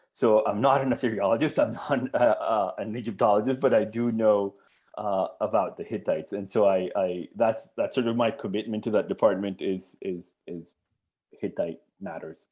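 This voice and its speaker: A man's voice: fairly low pitch, a little mellow. A middle-aged man from Colombia, a professor answering interview questions in a hesitant, thoughtful way with many restarts. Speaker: archaeology professor, guest.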